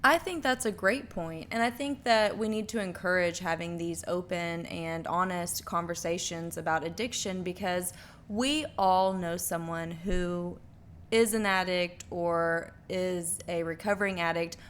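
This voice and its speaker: A woman's voice: on the low side, measured pace. A woman hosting an interview podcast, speaking in an earnest, articulate and emphatic way.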